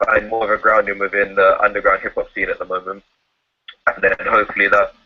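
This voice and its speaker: A man's voice: on the low side, narrow, tinny audio. A man from London, a guest hip hop artist introducing himself casually, easygoing and hesitant with many fillers. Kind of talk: casual chat.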